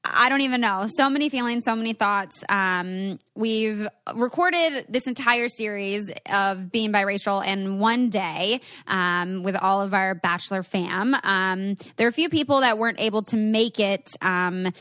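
A woman's voice: mid-range voice, measured pace, hardly any pauses, a bit bright, dry recording. A woman hosts a casual podcast conversation in a middle-register voice. She speaks in an effusive, appreciative way. The sound is dry, with very little room. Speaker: podcast host.

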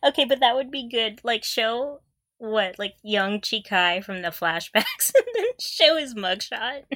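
A woman co-hosting a podcast in casual chat, with a giggly, expressive way of reacting. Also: a little high, a little slow, no room sound, studio quality. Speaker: podcast co-host.

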